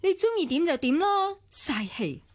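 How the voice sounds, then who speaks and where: An actress playing a sharp-tongued young woman with a Hong Kong Cantonese accent, lecturing and sarcastic. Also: high voice, big pitch swings, normal speed, a little hiss or hum. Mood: scornful. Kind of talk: 1990s radio drama acting.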